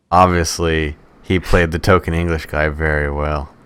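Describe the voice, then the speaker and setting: Low-voiced man chatting casually. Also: level tone, measured pace, a bit bright, clean tone, dry recording. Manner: conversational storytelling with swearing. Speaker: podcast co-host. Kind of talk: casual movie banter.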